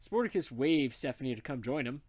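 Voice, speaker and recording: A man's voice: mid-range voice, animated, brisk, crowd noise. A man narrating a comic story as he reads it aloud. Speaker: narrator, reader.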